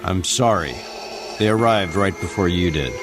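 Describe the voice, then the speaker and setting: A man's voice: sits low, lively intonation, normal speed, over music. A man playing an uneasy male city administrator with a cautious, apologetic manner in a film storybook. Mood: regretful.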